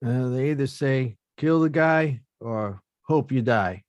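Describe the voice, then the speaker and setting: A man's voice: medium pitch, slow pace, no room sound, muffled recording, lightly acted. Guy doing a laid-back young boxer, plain talk, dry close sound. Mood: easygoing. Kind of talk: live online table read.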